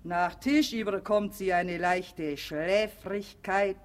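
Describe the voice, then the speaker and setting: Woman acting a quack doctor in male disguise, pompous, slow, emphatic, boastful, radio play. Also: mid-range voice, close to an everyday voice.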